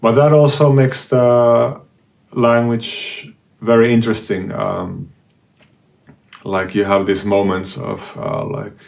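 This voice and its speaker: A man with a Finnish accent, a vlogger talking casually about learning languages, hesitant and full of pauses and restarts. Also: medium pitch, flat delivery, gravelly, darkish tone. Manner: hesitant, many fillers and restarts. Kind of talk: casual talk to camera.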